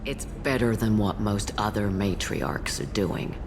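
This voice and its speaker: An actress voicing a blunt, world-weary old alien matriarch who tends bar in a sci-fi video game, sardonic and no-nonsense. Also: female voice, extremely low pitch, measured pace, background noise. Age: older. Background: music.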